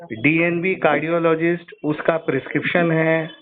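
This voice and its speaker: Middle aged guy arguing on a phone call, kinda high voice, pushy, keeps pressing the point, thin phone sound. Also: flat delivery, normal speed. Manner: insistent, challenging, repeats questions. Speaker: caller, insurance customer and patient. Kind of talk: argumentative phone complaint. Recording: narrowband phone call recording.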